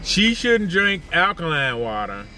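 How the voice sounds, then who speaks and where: A high-pitched, bright-voiced older man delivers an exasperated vlog rant from the seat of a parked car. He repeats his points and jumps higher whenever something annoys him. Faint noise from the street outside the car sits under his voice. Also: measured pace. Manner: animated, indignant, repeats himself, jumps up in pitch when worked up. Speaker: vlogger. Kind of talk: ranting car vlog to camera. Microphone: phone camera at arm's length.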